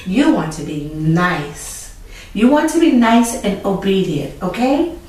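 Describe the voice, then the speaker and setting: A woman's voice: mid-range voice, very animated, slow pace, darkish tone. A middle-aged woman hosting children's storytime, telling stories and moral lessons emphatically and putting questions to the listener. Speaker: storytime host. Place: small indoor room with chalkboard set. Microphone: camera mic a few feet away. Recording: echoey room, distant camera mic, faint noise.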